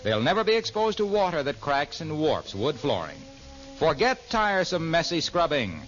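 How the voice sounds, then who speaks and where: A man's voice: on the high side, sing-song, moderate pace, fairly bright tone. A man reading a scripted 1940s radio commercial as an announcer, with polished salesman phrasing. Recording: muffled old radio recording, music behind.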